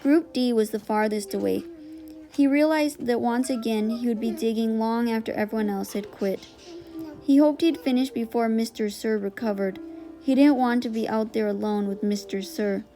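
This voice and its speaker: A woman's voice: a little high, normal speed, warm tone, soft background music, rough recording. A woman narrator reading a children's novel aloud in audiobook style.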